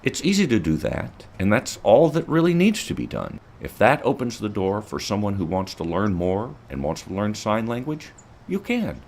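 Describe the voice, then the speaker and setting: A man's voice: on the low side, wildly swooping pitch, moderate pace, darkish tone, slight background noise. A man earnestly explaining in an interview, his voice jumping up on key words. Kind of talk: interview answers.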